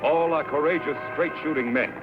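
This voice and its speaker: A man's voice: on the high side, normal speed, music in the background. A man with an American accent delivering dramatic scripted narration for an old-time western radio show. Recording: muffled old radio recording.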